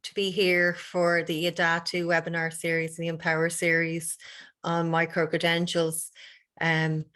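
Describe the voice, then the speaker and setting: A woman, a university director, giving a conference talk over a video call, quick and loose, stringing long clauses together. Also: fairly low pitch, completely flat delivery, a bit bright, clean tone. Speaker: university director presenting research. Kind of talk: conference presentation.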